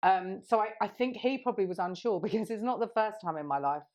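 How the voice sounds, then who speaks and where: A woman's voice: mid-range voice, fast talker, darkish tone. A woman in her thirties co-hosting a podcast, telling a rambling story in casual chat and laughing often. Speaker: podcast co-host.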